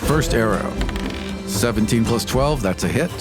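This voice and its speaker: A man's voice: medium pitch, lively intonation, normal speed, over loud music. A man playing a tabletop game, casually narrating his character's moves and dice rolls.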